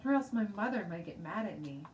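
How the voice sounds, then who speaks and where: A woman voicing a childish, excitable and self-justifying little kindergarten girl in a storybook reading. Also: medium pitch, fairly quick, lightly acted. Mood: anxious defensive. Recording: roomy, distant mic, faint noise.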